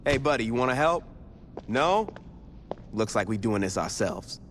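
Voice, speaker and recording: An actor playing a cocky, blunt young street gang boss who talks in casual, sweary slang in a video game cutscene. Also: male voice, mid-range voice, expressive, normal speed, fairly bright tone, a little hiss or hum, natural voice. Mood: casual banter.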